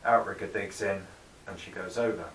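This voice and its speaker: A man's voice: low-pitched, steady tone, moderate pace, fairly bright tone, roomy sound, distant mic, slight background noise, poor audio. A man in his thirties with the flu, casually explaining his boat build while working in a cold garage workshop. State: has the flu.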